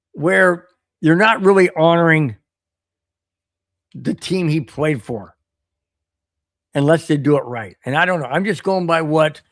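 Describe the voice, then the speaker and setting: A man hosting a solo podcast stream, ranting animatedly and repeating himself while his voice wears hoarse. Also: a little high, a bit bright, no echo, studio quality. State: voice getting hoarse. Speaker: podcast host. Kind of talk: solo livestream rant reading chat.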